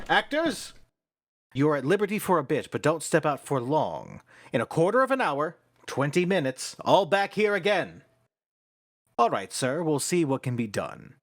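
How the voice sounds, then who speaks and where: Man acting a blustery theatre manager, swinging pitch. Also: heavily acted. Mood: brisk, commanding. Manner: brusque, theatrical, commanding. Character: blustery, sceptical theatre manager, gradually tempted. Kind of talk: stage-play acting in an audio drama.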